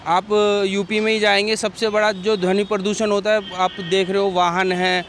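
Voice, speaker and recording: A man's voice: high-pitched, little pitch movement, normal speed, a bit bright. A man answering a reporter on the street, arguing his view point by point. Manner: argumentative, reasons point by point. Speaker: passerby giving opinion. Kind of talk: street interview. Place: outdoors by a busy street. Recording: faint traffic noise.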